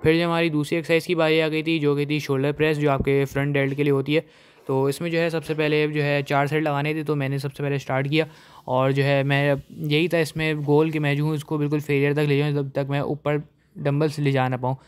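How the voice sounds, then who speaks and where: A young man calmly narrating his gym workout as a voice-over, with many fillers. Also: on the high side, a little fast, clean tone. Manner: steady recap, heavy fillers.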